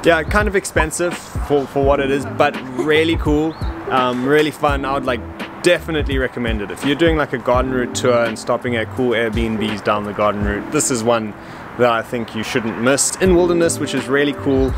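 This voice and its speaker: A man's voice: medium pitch, normal speed. A man casually sums up and recommends the stay in an easygoing, slightly hesitant way. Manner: relaxed, easygoing, hedging with "like" and "um". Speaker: travel vlogger. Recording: music in the background.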